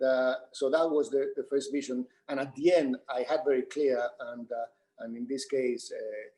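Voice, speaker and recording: A male theatre director with a Spanish accent talks off the cuff at a panel Q&A. His voice sits at an average pitch, and he builds his sentences haltingly, often repeating phrases as he goes. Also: warm tone, low-quality recording. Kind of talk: unscripted panel Q&A talk.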